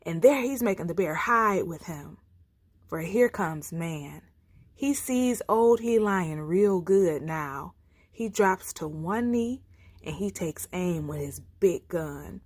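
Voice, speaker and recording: A woman reads a children's story aloud at an unhurried pace. Her pitch moves widely, with theatrical, deliberate phrasing. The recording is close and dry. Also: expressive, no room sound. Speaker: storytime reader. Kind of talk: expressive read-aloud of children's folktales.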